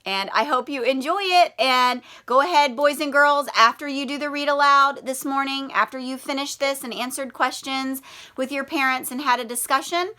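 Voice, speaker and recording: High, bright-voiced woman teaching young children. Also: moderate pace. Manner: addresses children directly with prompting questions. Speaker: primary school teacher. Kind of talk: children's read-aloud and lesson with questions.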